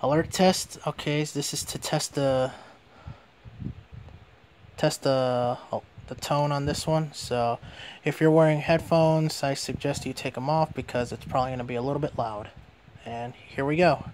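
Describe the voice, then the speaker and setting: A man's voice: a little high, steady tone, normal speed, a little mellow, a little hiss or hum. A man giving a casual hands-on product demonstration as a reviewer.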